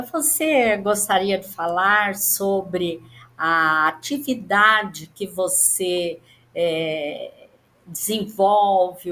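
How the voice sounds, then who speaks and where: A woman hosting an interview who asks gentle, hesitant questions. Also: on the low side. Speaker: interview host.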